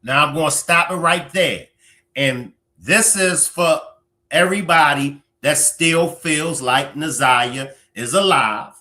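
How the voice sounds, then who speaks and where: Male reaction host, slow-paced and emphatic, with a bright forceful edge. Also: fairly high pitch. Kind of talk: live commentary.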